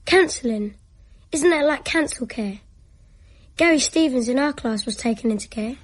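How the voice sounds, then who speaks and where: A woman's voice: sits high, moderate pace, breathy edge, no room sound. A woman playing an anxious, naive schoolboy with a childlike, urgent delivery in a radio drama. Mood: subdued. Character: anxious schoolboy, Jasper, who rides on train tops.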